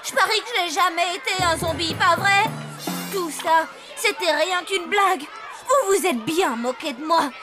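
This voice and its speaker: An actor voicing an earnest, excitable young boy in a French animated cartoon, with expressive childlike delivery. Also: very high voice, moderate pace, crowd noise. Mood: hurt, indignant. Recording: music under the voice.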